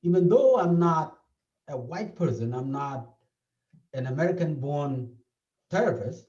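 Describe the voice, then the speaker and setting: South Sudanese man with a lively, earnest voice. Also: a little high, a little slow. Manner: earnest, explaining, repeats key points. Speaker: therapist. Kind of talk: interview. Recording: dull, echoey, from a distance.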